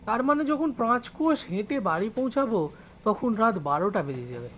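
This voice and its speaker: A man playing a village schoolboy who studies in the city, telling his story in expressive first-person narration. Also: high-pitched, normal speed, a little hiss or hum. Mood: suspenseful recounting. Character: village schoolboy studying in Kolkata, recalling his life.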